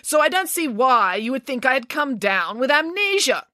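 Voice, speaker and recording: A woman's voice: high voice, very animated, normal speed, pushed and bright, no echo, studio quality, pitched above the performer's own voice, exaggerated voice. A woman voicing a small, fierce pig nanny who speaks grandly with haughty, theatrical outrage.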